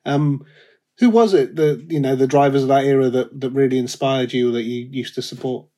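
A man's voice: medium pitch, normal speed, dark tone. A man hosting a podcast, asking the guest questions in an inquisitive, prompting way. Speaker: podcast host. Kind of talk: interview questions.

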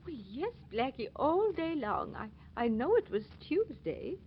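An actress playing a fretful, forgetful old aunt in a 1940s radio drama, speaking in a hesitant, apologetic way. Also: female voice, high-pitched, very animated, unhurried. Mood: flustered, earnest. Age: older. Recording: dull, noisy old 1940s radio recording.

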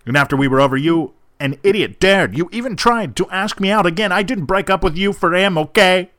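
A man's voice: sits high, lively intonation, brisk, fairly bright tone, dry recording, clean studio sound, voice pushed up. A man playing a furious, scornful jilted young person in an emphatic, declamatory dramatic reading. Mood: furious, defiant.

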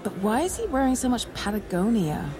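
A woman's voice: on the high side, big pitch swings, fairly quick, a crowd in the background. An actress playing a brash, flirty woman who teases bluntly, in a naturalistic audio drama. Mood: teasing. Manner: blunt, teasing, crude-humoured.

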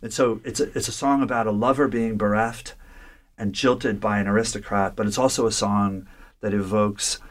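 Guy breaking down a short story, kinda low voice, thinks out loud with lots of restarts. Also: fairly even intonation, normal speed. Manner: thoughtful, with frequent restarts and rhetorical "right?". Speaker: literature scholar discussing a story. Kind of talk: conversational lecture-style discussion.

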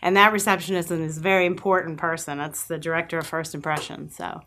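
A middle-aged woman with a Long Island New York accent, a podcast guest who tells lively anecdotes and acts out remembered dialogue in a studio interview. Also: low voice, fast talker, slight background noise. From New York, USA. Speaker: guest, agency president. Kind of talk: conversational storytelling interview.

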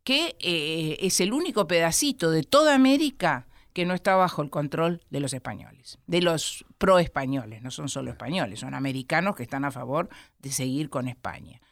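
An Argentine woman historian with an Argentine accent, a guest in an interview who explains at length with many pauses. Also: low-pitched, expressive, no room sound, studio quality. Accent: Argentine Spanish. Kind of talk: studio interview.

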